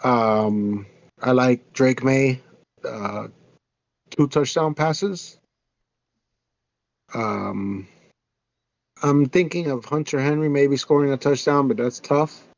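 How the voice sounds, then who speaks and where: A man's voice: mid-range voice, slow pace, vocal fry, long pauses, no echo, lo-fi sound. A man co-hosting a podcast, talking casually and hesitantly about sports picks with many fillers. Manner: hesitant, many ums and uhs. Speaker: podcast co-host.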